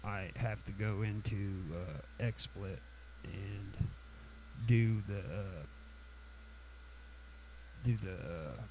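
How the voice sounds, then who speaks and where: A man with a cold hosting a casual software tutorial, halting and full of fillers and restarts. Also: fairly low pitch, slight background noise, low-quality recording. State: has a cold, sniffly. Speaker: tutorial channel host.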